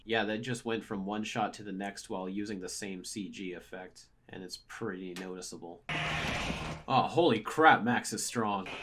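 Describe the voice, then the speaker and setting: A man with a fairly low voice reviews what he is watching. He talks casually and conversationally, reasoning out loud as he goes. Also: background noise. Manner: conversational, thinking aloud as he watches. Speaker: host, cartoon reviewer. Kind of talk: casual reaction commentary.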